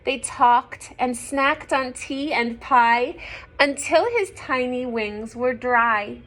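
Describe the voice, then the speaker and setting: Slow-paced woman reading to young children. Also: high-pitched. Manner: expressive, gentle, sing-song reading, often dropping to hushed asides. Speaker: storyteller reading a picture book to young children. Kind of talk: children's read-aloud with asides to listeners. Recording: some background noise.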